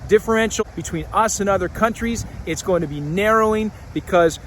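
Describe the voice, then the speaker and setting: A man's voice: high-pitched, fairly quick, slight background noise, rough recording. A man hosting a political commentary show, speaking emphatically and indignantly. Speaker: host.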